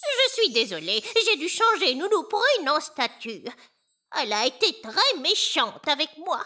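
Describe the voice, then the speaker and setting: A woman's voice: sits high, very animated, measured pace, bright, forceful tone, exaggerated voice. A woman voicing a cranky, easily offended cartoon witch with a stern, indignant manner. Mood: curt, self-justifying. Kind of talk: animated children's cartoon dub in French.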